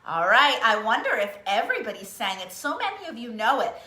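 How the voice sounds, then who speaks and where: A woman's voice: a little high, sing-song, fairly quick, pushed and bright. A woman leading a children's storytime in an encouraging, coaxing manner, putting simple questions and instructions to young listeners. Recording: roomy, echoey room sound.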